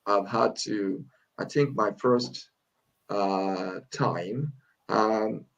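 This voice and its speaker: A man from Africa answering as a guest on a church panel. His voice is lowish with a gravelly rasp. He speaks haltingly, with frequent fillers and restarts. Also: dull audio. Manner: hesitant, many pauses and fillers. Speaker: panel guest, lay preacher.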